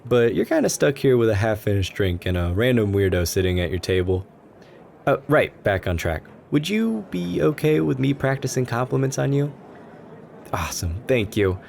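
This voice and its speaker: A man playing a shy, anxious and gentle young stranger who rambles self-consciously in a soft ASMR roleplay. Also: lowish voice, vocal fry. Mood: nervous, hopeful. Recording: background ambience noise. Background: coffee shop ambience.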